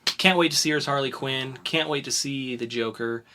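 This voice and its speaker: A man co-hosting a casual comic book podcast, joking around and reading out credits with bouncy energy. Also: moderate pace. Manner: jokey, bouncy, goes high and loud when excited. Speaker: podcast co-host. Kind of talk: casual comic review chat.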